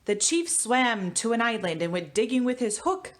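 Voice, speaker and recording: A woman teacher giving a storybook read-aloud for children, with expressive delivery. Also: fairly high pitch, moderate pace. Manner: expressive, animated reading. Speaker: elementary school teacher.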